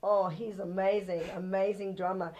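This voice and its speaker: A woman with a non-American English accent hosts a small local TV talk segment. She talks in a bubbly, gushing way and turns often to the viewers. Her voice sits at a middling pitch. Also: level tone, normal speed, mellow, lo-fi sound. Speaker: host of a local TV show. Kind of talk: chatty TV interview.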